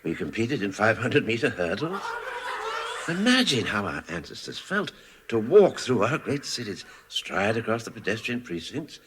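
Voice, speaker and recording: An actor playing a lofty, aggrieved bird elder in a radio comedy drama, with sighing, drawn-out theatrical delivery. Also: male voice, lowish voice, sing-song. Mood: wistful, solemn. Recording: noisy archive radio drama recording.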